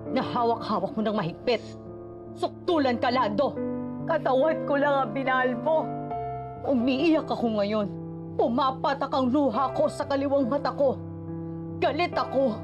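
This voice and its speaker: A woman's voice: high-pitched, moderate pace, muffled recording, over music, pitched above the performer's own voice, close to an everyday voice. Woman as a wooden radio drama heroine. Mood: declamatory anger. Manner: wooden, declamatory line reading. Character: stiff, emotionless heroine of a melodramatic radio play. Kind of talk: badly acted radio drama.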